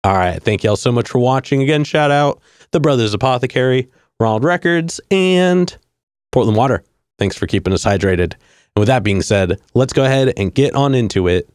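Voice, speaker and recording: A man's voice: medium pitch, animated, normal speed, a little mellow, clear, resonant tone, no room sound, studio quality. A man hosting a casual music podcast, upbeat and chummy, with slangy reactions. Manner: upbeat, chummy, slangy ("rad", "hell yeah"). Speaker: podcast host.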